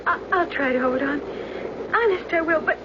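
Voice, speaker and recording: An actress plays a brave young wife straining through labor pains in a radio drama. Her voice is extremely high. The recording is dull and clearly noisy. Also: moderate pace, lightly acted. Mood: pained, pleading.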